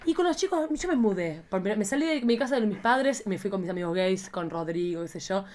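A woman's voice: medium pitch, lively intonation, quick pace, a little mellow. A woman with an Argentine Spanish accent hosting a casual, playful chat, often exclaiming and interjecting. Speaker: podcast host.

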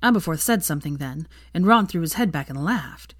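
Low-pitched woman narrating a story. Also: animated, brisk, no room sound, studio quality. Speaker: audiobook narrator, fan fiction reader.

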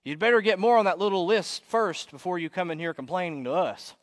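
Guy putting on a brash loud heckler for a sermon story, wry and teasing, pitched up, big echoey room. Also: animated, fairly quick. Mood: teasing, challenging. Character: brash, booming heckler with wry good humour. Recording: echoey hall with long reverb.

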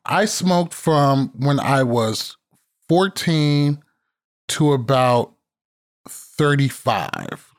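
A man's voice: mid-range voice, big pitch swings, drawn-out words, pauses often. A man in his thirties hosting a podcast, chatting casually and brashly, swearing freely. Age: 30s. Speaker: podcast host.

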